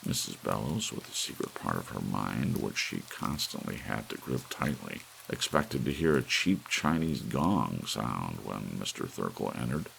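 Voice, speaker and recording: Man, very deep bass, heavy vocal fry, expressive intonation, ASMR audiobook narration, faint hiss of soft rain. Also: measured pace, few pauses, low-quality recording. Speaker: audiobook reader. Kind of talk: scripted narration, ASMR bedtime story reading.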